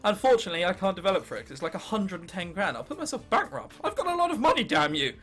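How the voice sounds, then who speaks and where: A teenage man from England with an English accent, commentating on gameplay and thinking aloud in an excitable, sweary, self-mocking way. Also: high-pitched, rapid-fire, faint music behind. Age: late teens. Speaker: gaming video host.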